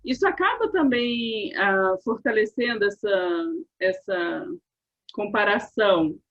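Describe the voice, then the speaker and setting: A woman hosting an interview, asking relaxed follow-up questions. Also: medium pitch, takes it slowly. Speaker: interviewer host. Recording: dull lo-fi sound.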